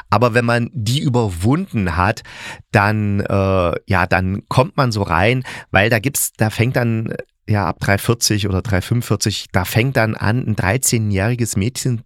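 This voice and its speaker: A man's voice: lowish voice, no room sound, clean studio sound. A middle-aged man from East Germany giving a casual, opinionated review as a podcast host, speaking bluntly and colloquially and correcting himself as he thinks aloud.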